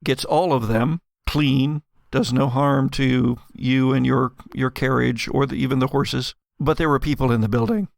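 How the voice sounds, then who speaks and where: A man narrating a tabletop role-playing game as game master, telling the story dramatically with deliberate pauses. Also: mid-range voice, steady tone, vocal fry, dry recording. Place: dry home studio.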